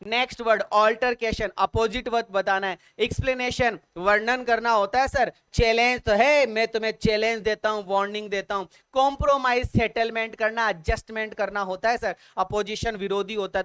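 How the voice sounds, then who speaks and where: A man teaching an online exam-prep English vocabulary lesson in Hindi. He repeats points over and over, spells words out letter by letter, and reads the English prompts slowly and deliberately. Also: high voice, fairly bright tone, clear, resonant tone, no echo. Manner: addresses viewers as sir and dear children.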